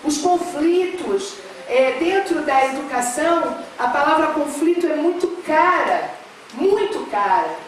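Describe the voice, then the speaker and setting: A woman's voice: high voice, expressive, measured pace, a little hiss or hum. A middle-aged woman from Rio de Janeiro with a Carioca accent touched by São Paulo, giving an earnest, filler-laden speech at a public forum, growing more emphatic as she goes. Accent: Carioca Portuguese, mixed with São Paulo. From Rio de Janeiro, Brazil. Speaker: education official speaking on a panel. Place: large hall at a public forum. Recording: echoey hall, speaker far from mic.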